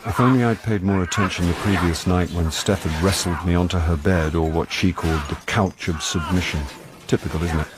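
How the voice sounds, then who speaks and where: Man playing a low-voiced, wry, hapless amateur sleuth. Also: fairly even intonation, a little fast, natural voice. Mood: wry, rueful. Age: middle-aged. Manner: dry, self-deprecating. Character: wry, hapless middle-aged actor turned amateur sleuth. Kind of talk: radio drama acting with first-person narration.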